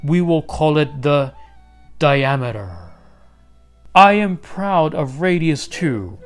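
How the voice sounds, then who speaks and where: A man's voice: a little high, animated, clean tone. Noble storybook king, played by a male reader, commanding and kindly, projected proclamations, faint music. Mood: proud, ceremonial. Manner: proclaiming, deliberate, stately.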